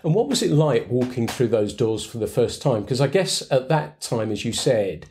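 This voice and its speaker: A man's voice: medium pitch, expressive, normal speed, a little mellow, a little hiss or hum. A man hosting a podcast, asking casual, slightly rambling interview questions. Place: indoors in a tackle shop.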